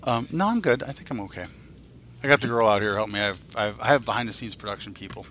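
A man's voice: rapid-fire, a bit bright, soft background music. A man in his forties co-hosting a podcast, talking in a casual, scattered, rambling way with a lot of swearing. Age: 40s. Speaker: podcast co-host. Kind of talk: casual, unscripted chat. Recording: faint rumbling background noise.

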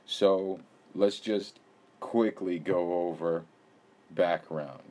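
A man's voice: deep voice, steady tone, very slow, dark tone. A man hosting a podcast interview in a casual, rambling way, hedging and laughing often. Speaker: podcast host.

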